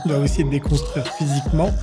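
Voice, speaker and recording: A man's voice: on the high side, level tone, fast talker. Male podcast host over music. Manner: short affirming interjections. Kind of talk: interview hosting with brief backchannels. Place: podcast studio.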